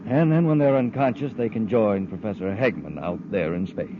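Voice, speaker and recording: A man's voice: on the low side, normal speed, close to an everyday voice. Smug scheming space villain played by a man, muffled. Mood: conspiratorial. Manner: sly, smug. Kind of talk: 1950s radio serial acting. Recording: muffled, noisy 1950s radio recording.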